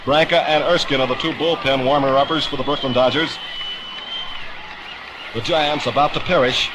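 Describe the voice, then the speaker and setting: High-voiced 1950s radio baseball announcer, noisy broadcast. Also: male voice. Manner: dramatic, florid phrasing. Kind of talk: 1950s radio sports commentary. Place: outdoor ballpark broadcast position.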